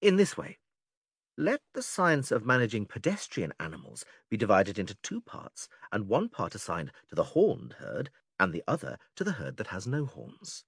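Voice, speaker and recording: A man playing a patient, methodical philosopher who leads an argument in a dramatised classical dialogue, measured, formal and questioning. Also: lowish voice, wildly swooping pitch, husky, no room sound, clean studio sound, exaggerated voice. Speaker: audiobook actor reading philosophical dialogue.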